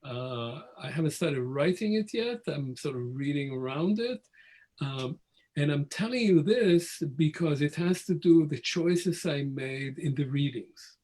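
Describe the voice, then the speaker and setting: A man, speaking as a history professor in a measured, reflective way and often restarting his phrasing. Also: fairly high pitch, expressive, a little mellow. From Israel. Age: 60s. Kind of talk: conversational academic talk.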